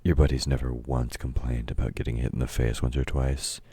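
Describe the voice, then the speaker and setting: Man acting very deep, husky, domineering villain. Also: level tone, brisk, dark tone, no echo, exaggerated voice. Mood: mocking, dismissive. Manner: gruff, mocking, commanding, addressing a meek listener. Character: domineering, taunting male villain, grudging temporary ally of heroes. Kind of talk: intimate audio roleplay, scripted dramatic acting.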